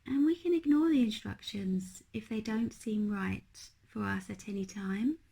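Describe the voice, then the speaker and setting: A woman leading a guided meditation as a teacher, speaking in a gentle, unhurried, hushed manner. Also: medium pitch, creaky, husky.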